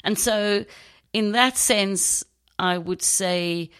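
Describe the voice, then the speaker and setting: A middle-aged woman from South Africa with a South African accent, a podcast guest telling her story thoughtfully with many pauses and ums. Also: mid-range voice, a bit bright, clear, resonant tone. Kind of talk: casual interview conversation.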